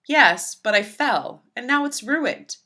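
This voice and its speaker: An eager little storybook girl, voiced by a woman reader, earnest and brave. The voice is bright, with animated swings in pitch and simple, childlike delivery. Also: slow pace, full character voice. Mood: dismayed.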